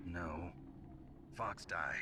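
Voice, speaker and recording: An actor playing a terse, wary soldier hero in a video game, with a gruff voice and questioning manner. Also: male voice, deep voice, very slow, noisy background.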